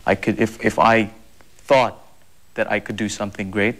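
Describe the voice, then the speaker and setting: A man's voice: lowish voice, flat delivery, moderate pace, a bit bright. A young man from Zambia, answering interview questions earnestly, with many fillers and repeated words. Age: young adult. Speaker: guest.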